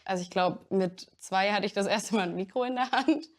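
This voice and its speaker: A woman's voice: mid-range voice, brisk. An eighteen-year-old woman musician, talking earnestly and casually about her song. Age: teens. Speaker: musician in a duo. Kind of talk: interview statement in a film.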